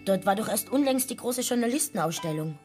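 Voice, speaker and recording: A woman's voice: a little high, animated, fairly quick, darkish tone, soft background music, natural voice. An actress playing a chatty, over-familiar streetwalker down on her luck in a radio drama, rambling on. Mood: chatty, plaintive.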